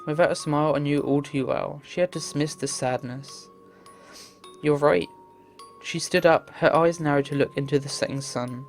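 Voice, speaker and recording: A man's voice: on the high side, steady tone, normal speed. A man reading visual novel text aloud in an earnest, theatrical way as a game playthrough host. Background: game music.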